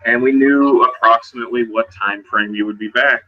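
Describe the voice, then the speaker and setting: A man narrating and improvising in character as a role-playing game player, in a halting, conversational way. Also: medium pitch, fairly even intonation, highs cut off, faint music behind.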